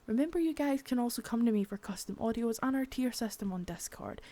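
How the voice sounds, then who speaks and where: A woman's voice: on the high side, expressive, quick pace, mellow. A woman who makes ASMR videos, talking in a friendly, chatty way as she opens a video, sometimes dropping to a whisper. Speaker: ASMR creator.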